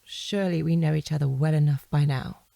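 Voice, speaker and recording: Mellow, composed woman questioner. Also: sits low, steady tone, vocal fry, no echo. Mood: cool, pointed. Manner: measured, pointed, persistent. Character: composed, probing woman questioning an evasive man. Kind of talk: naturalistic audio drama dialogue.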